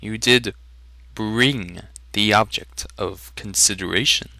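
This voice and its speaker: A man voicing a smooth, courtly merchant in a fantasy audiobook reading, with a theatrical salesman's manner. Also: fairly low pitch, slow, pushed and bright, dry recording, exaggerated voice. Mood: smooth, probing. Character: smooth male trader, salesman-like, secretive, easily irritated.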